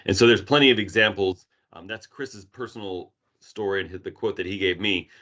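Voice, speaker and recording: A middle-aged man from Arizona with an American accent, giving a relaxed webinar presentation with many fillers. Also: low voice, fairly quick. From Arizona, United States. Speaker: emergency management presenter. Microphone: video call. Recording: dull lo-fi webinar audio.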